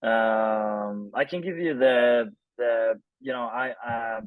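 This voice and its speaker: A man, telling his story casually and haltingly as an interview guest, with informal language. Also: mid-range voice, smooth, dry recording, narrow, tinny audio. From Sweden. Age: 30s. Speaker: interview guest, film director.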